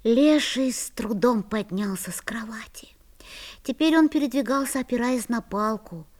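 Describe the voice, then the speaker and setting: A woman doing a high-voiced schoolboy narrator. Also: normal speed, darkish tone, lightly acted. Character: schoolboy narrator, kind and helpful. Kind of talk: storybook narration.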